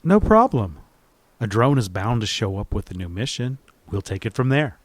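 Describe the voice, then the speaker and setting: An actor playing an earnest, lovestruck male secret agent in a comedic audio drama, husky and emphatic, with a pleading manner. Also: on the low side, sing-song, moderate pace. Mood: confident.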